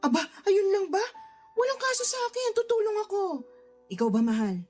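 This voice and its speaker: A woman playing an affectionate middle-aged mother, expressive and motherly, in a radio drama. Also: very high voice, fairly quick, soft background music. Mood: delighted, supportive. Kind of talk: Tagalog radio drama acting.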